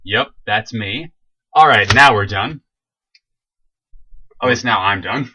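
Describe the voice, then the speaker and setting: A young man co-hosting a casual podcast, tossing in goofy jokes with a deadpan delivery. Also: lowish voice, takes it slowly, a little hiss or hum. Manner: goofy, joking deadpan asides. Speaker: podcast co-host. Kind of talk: casual chat. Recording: dull lo-fi recording.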